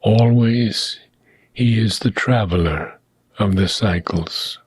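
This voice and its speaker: Guy reading heavy philosophy out loud, slow n solemn, bit of a husky voice, lots of pauses. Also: fairly low pitch, no echo. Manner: measured, deliberate phrasing with frequent pauses. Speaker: reader of a philosophical book. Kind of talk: solemn audiobook-style reading of philosophical prose.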